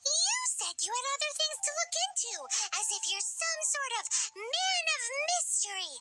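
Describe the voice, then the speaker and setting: A cheeky little fairy companion chirps in a squeaky, very high voice. Her pitch leaps about as she teases. Game music plays underneath. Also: very animated, moderate pace. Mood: teasing. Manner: teasing, sassy. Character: tiny floating fairy-like companion, cheeky and chatty. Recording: game audio with music behind.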